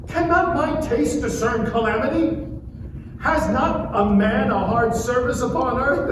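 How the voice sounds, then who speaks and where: Performer as anguished, slow-speaking sufferer, echoey and distant. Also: mid-range voice. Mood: pleading. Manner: solemn, impassioned, drawn-out phrasing. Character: Job, anguished suffering man pleading with and accusing God. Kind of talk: dramatic reading of scripture, theatrical declamation. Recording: distant, very echoey, noisy live room.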